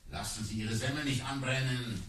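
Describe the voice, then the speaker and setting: An actor playing a sardonic, imperious talking tiger in a radio play, with a dry, commanding manner. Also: male voice, mid-range voice, fairly even intonation, normal speed, a bit bright, echoey room, close to an everyday voice. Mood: haughty, mocking. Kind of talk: 1980s German radio play acting.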